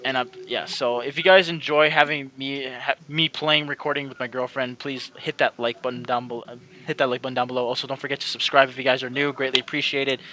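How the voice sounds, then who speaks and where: A man's voice: medium pitch, fairly even intonation, fast, hardly any pauses, pushed and bright, a little hiss or hum. A man doing casual let's-play commentary as a channel host, rambling and repeating himself as he talks to his viewers.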